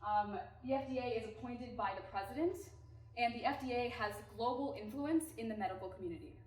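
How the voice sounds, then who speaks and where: A woman from California gives a prepared pitch presentation. She addresses the audience directly and engagingly. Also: brisk, a little mellow. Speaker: team presenter. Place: presentation room. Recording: echoey room, mic far off.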